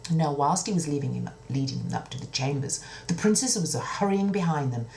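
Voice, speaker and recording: A woman's voice: low voice, expressive, a little fast, creaky, mellow, echoey room, slight background noise. A woman telling a children's story in a dramatic, theatrical way, repeating words for suspense.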